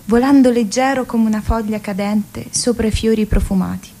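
A woman's voice: fairly high pitch, moderate pace, dark tone. A woman playing a lyrical oracle who foretells the future, reciting solemn radio-theatre poetry. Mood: solemn, prophetic. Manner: solemn, declamatory, poetic.

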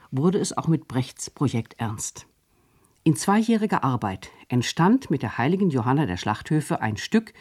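A man's voice: mid-range voice, darkish tone, breathy edge. Man narrating a radio documentary. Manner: measured, formal delivery. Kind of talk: scripted narration.

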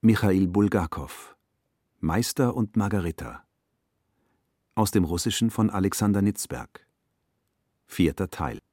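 A man reading a formal scripted announcement in a sober manner, with clearly separated phrases. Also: lowish voice, a little mellow, no echo, clean studio sound.

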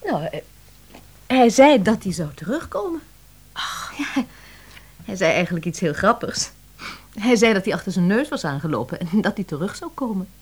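A woman's voice: medium pitch, big pitch swings, moderate pace, pauses often, slightly rough. An actress playing a chatty, eager woman office assistant with a dreamy, enthusiastic streak in a Dutch radio play. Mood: hesitant, coy.

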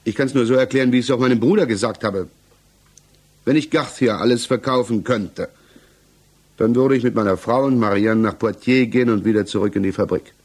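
An actor playing a troubled, defensive suspect under interrogation in a German radio play, justifying himself haltingly. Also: male voice, medium pitch, a little mellow, lightly acted. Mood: troubled, explaining.

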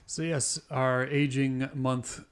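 A man's voice: medium pitch, flat delivery, slow, clear, resonant tone. A man in his twenties co-hosting a podcast, chatting casually, rambling and chuckling often. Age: 20s. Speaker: podcast co-host. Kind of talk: casual chat.

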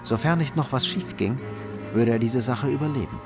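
A man's voice: medium pitch, moderate pace. A man narrating a crime story from a script, as in a radio drama. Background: music.

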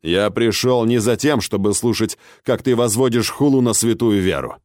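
A man's voice: lowish voice, normal speed, darkish tone, no echo, clean studio sound, natural voice. A man voicing a stern, imperious male ruler in an audiobook, speaking in a cold, unruffled, commanding manner. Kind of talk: audiobook character acting.

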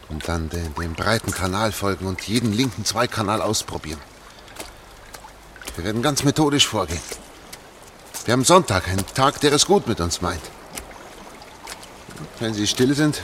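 Man acting deep-voiced, sober fugitive guide. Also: lightly acted. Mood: calm, urging. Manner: hushed, measured, deliberate. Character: sober, methodical older man guiding a girl through sewers. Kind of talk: German radio play acting. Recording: noisy track with trickling water. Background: running, dripping water.

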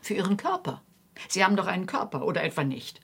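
An actress playing a demanding female teacher in a radio drama, husky and raspy, speaking in deliberate, insistent questions. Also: fairly low pitch, very animated, exaggerated voice. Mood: probing, insistent. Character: probing, commanding female movement teacher at an art school.

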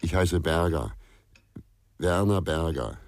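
An actor playing a brooding, insistent investigator in a radio crime drama, with terse, probing speech and a deep, husky voice. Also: male voice, slow, no echo. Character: brooding, insistent male amateur investigator, sleepless.

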